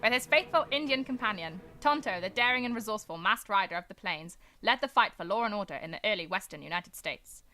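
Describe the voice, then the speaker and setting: Fast-talking woman as a dramatic radio announcer. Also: medium pitch, lively intonation, sharp tone. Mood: rousing. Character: earnest announcer of an old western radio serial. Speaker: announcer and narrator. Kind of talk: dramatic scripted old-time radio narration. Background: music.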